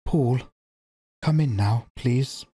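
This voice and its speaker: A man reading aloud and voicing a proud young mother who quietly holds back tears, with a strained manner. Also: mid-range voice, slow pace, breathy edge, pitched above the performer's own voice.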